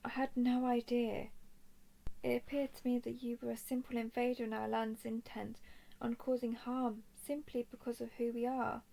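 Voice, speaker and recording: Woman voicing a gently solemn, higher-pitched noble dragoness. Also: vocal fry. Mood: remorseful, tender. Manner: formal, measured, earnest speech. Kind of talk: scripted audio roleplay, intimate monologue.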